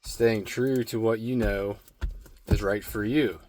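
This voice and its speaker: A man giving a slow, meditative spirit card reading with a strained, slightly hoarse throat and many filler words. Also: fairly low pitch, fairly even intonation, darkish tone, slight background noise. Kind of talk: intuitive oracle card reading.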